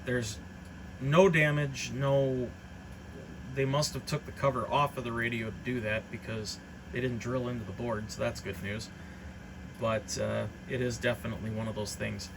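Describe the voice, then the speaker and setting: A man with a mid-pitched voice talks through an informal hands-on demo. His delivery is unscripted and matter-of-fact, wandering a little as he goes. A steady, clearly audible background noise sits behind him. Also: normal speed. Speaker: hobbyist radio reseller presenting a demonstration. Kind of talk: informal product demonstration.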